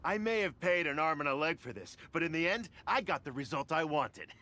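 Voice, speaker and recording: An eager, stammering fan bidder. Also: male voice, on the high side, a little fast. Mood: satisfied. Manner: excitable, stammering when uneasy. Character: eager male fan bidder, nervous yet earnest. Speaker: auction buyer. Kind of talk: game voice acting.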